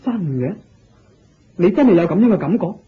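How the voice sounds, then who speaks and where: A hearty, gracious king from a Buddhist radio drama, speaking with a Hong Kong Cantonese accent. His voice is rough and fairly high, full of questioning exclamations. The recording is dull and lo-fi. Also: moderate pace, heavily acted. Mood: intrigued, probing. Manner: expressive, inquisitive, exclamatory. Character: hearty, curious, gracious king of ancient India.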